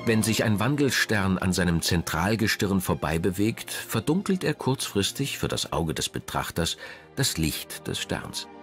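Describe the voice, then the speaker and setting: A man reading a scripted documentary narration in a measured, dramatic manner. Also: sits low, big pitch swings, over music.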